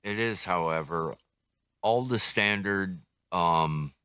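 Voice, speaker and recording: An older man with a very low, bright-edged voice talks through a casual hands-on review. He is slow and musing, often thinking aloud to himself. The sound is dry and close, without room echo. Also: smooth. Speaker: radio hobbyist reviewer.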